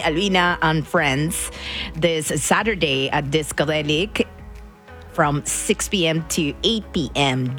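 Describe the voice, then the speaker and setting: A woman's voice: low voice, a bit bright. A woman from Argentina with an Argentine Spanish accent, chatting casually as a radio DJ and slipping into Spanish words.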